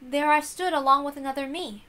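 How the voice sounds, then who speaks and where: A woman's voice: high-pitched, a little fast, sharp tone. A woman voicing a timid, earnest newborn witch with a hesitant, polite manner in a visual novel reading. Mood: wondering, earnest.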